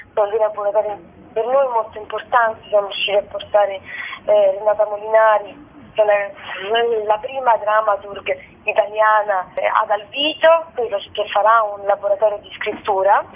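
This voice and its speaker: A woman giving a spontaneous, enthusiastic radio interview as a festival co-director, wordy and halting, with repeated words. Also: medium pitch. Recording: tinny, narrow telephone line on radio.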